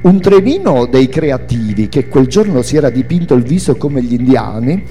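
A man giving an expressive, theatrical reading of a literary memoir. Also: medium pitch, moderate pace, soft background music. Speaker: reader of a memoir. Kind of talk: dramatic literary reading aloud with music.

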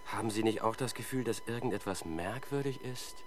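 A man's voice: on the low side, brisk, lightly acted. A man acting as a skeptical, wry junior police detective in a 1970s German crime radio play. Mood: doubtful. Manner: dry, questioning, cheeky.

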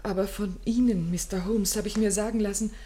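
A woman's voice: medium pitch, fairly even intonation, fairly quick, warm tone, natural voice. An actress playing a distressed, genteel young woman in a radio play, speaking formally and dramatically. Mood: anxious. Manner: formal, dramatic, pleading phrasing.

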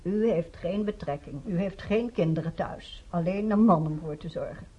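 A woman's voice: lowish voice, normal speed, slightly rough. A woman playing a blunt questioner in a radio drama, speaking in a pointed, challenging manner on a muffled recording.

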